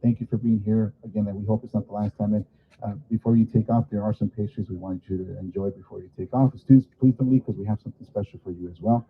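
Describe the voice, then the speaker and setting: A hoarse, raspy man rattles quickly through his hosting duties at a school ceremony. He talks casually and apologetically, with many fillers. The sound is thin and phone-like. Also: fairly low pitch, fairly even intonation. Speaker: master of ceremonies at a school event. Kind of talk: informal event hosting.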